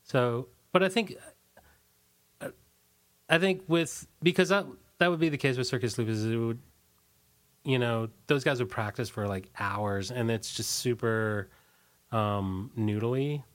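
A man being interviewed in a casual, rambling way, halting and often restarting his sentences. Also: mid-range voice. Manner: rambling, halting, many false starts. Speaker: interview guest, punk band singer. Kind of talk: casual conversational interview, recollecting.

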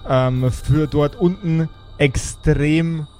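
Male game master, unhurried deliberate fantasy storytelling, light music underneath. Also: a little high, steady tone, mellow. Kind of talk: improvised fantasy pen-and-paper narration. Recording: music behind the voice.

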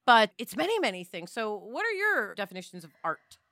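A woman's voice: medium pitch, big pitch swings, brisk, bright, forceful tone, dry recording, clean studio sound. An American woman from New York City in a casual panel discussion, talking emphatically and in anecdotes. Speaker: podcast panelist.

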